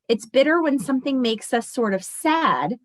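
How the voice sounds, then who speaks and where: A woman's voice: high-pitched, expressive, normal speed. A woman teacher reading a picture book aloud expressively and explaining it to children as she goes.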